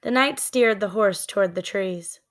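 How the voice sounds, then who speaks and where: A woman narrating a children's book in a deliberate, expressive storytelling manner. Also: medium pitch. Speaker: read-aloud storyteller.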